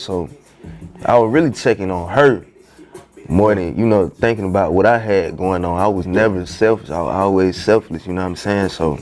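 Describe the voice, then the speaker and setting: Low-voiced young man, laid-back storytelling. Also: darkish tone. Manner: loose, slangy, peppered with "you know what I'm saying". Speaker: podcast guest, rapper. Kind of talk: casual storytelling interview.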